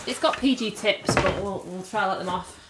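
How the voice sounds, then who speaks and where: A woman's voice: medium pitch, brisk, noisy background. A woman in her thirties with a British accent, vlogging in a chatty, informal way to a handheld camera in a hotel room. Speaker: family travel vlogger. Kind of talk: casual vlog chat to camera. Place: small hotel room and bathroom. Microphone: handheld camera at arm's length.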